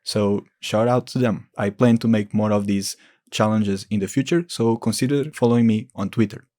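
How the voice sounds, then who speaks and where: A man's voice: lowish voice, fairly even intonation, normal speed, mellow. A man giving informal, explanatory lore commentary as a gaming video creator.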